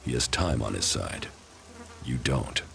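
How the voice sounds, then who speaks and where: An actor playing a grim, stoic commander in a video game, speaking in a terse, deadpan way. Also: male voice, very deep voice, measured pace, creaky, slight background noise, full character voice. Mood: grim, warning. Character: grim, stoic male commander, a soldier turned outcast.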